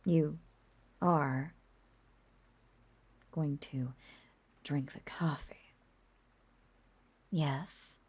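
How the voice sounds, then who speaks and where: A woman voicing an obsessive, possessive witch in an intimate roleplay audio drama, cooing and coaxing in soft, breathy half-whispers. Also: sits low, steady tone, extremely slow, long pauses, heavily acted. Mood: commanding. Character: lovesick yandere witch, obsessive, possessive, sweetly unhinged.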